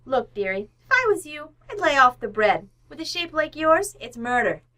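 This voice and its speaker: A woman's voice: sits high, big pitch swings, normal speed, pushed and bright, heavily acted. A woman acting a brash, nosy, slangy housekeeper in an old-time radio comedy, blunt and overly familiar. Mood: brash, nonchalant.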